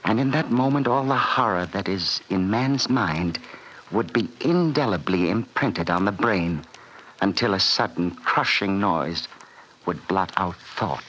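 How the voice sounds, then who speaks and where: An actor playing a sinister, menacing villain called the fat man, speaking in a smooth, relishing manner in an old radio drama. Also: deep voice, expressive, unhurried, fairly bright tone, dull audio. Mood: gloating. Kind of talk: 1940s radio acting. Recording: vintage radio recording with hiss.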